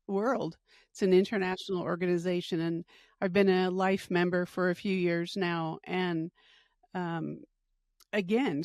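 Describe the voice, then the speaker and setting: A woman's voice: lowish voice, no echo. Middle-aged lady hosting a podcast, earnest and chatty, dry close sound. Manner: earnest, upbeat, rambling with fillers. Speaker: podcast host and retirement educator. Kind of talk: casual interview chat.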